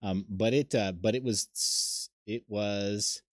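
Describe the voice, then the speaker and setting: A man's voice: on the low side, steady tone, slow pace, dry recording, clean studio sound. A man hosting a casual chat, cutting in with joking, apologetic asides. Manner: jokey, apologetic interjections. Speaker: host.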